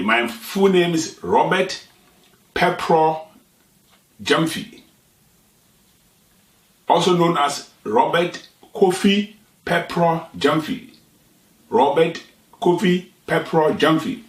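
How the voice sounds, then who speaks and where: A man's voice: fairly high pitch, fairly bright tone, distant mic. Ghanaian man in an echoey room. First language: Twi. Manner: unhurried, explanatory, with pauses and restarts. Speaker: show host. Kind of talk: satirical talk.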